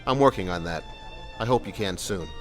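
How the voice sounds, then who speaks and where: Man playing a calm, fatherly police sergeant, faint music. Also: low voice, a little fast. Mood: reassuring. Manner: reassuring, plain-spoken, patient. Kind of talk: audio drama dialogue.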